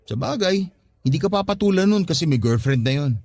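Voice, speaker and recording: A man's voice: high-pitched, expressive, fairly quick, a little mellow, no room sound. A Filipino actor playing an earnest, pleading young father in a Tagalog radio drama, speaking in a coaxing, conversational way. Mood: casual, concerned. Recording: background music under the dialogue.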